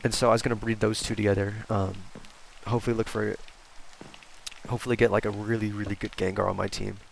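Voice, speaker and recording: Congested young man with gravelly voice. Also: lowish voice, flat delivery, quick pace, slight background noise, rough recording. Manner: chatty, rambling with fillers and restarts. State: congested from a cold. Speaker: gaming YouTuber. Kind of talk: casual Let's Play commentary.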